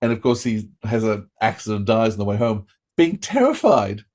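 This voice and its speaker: A man's voice: on the low side, very animated, moderate pace, a little mellow. A middle-aged man from Australia, hosting a casual interview and talking with eager, rambling restarts. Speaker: podcast host.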